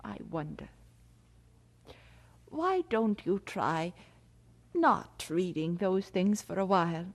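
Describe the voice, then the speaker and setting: An actress playing a fond, worrying middle-aged mother in an audio drama, speaking tenderly and deliberately. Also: fairly low pitch, big pitch swings, full character voice. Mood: tender, worried.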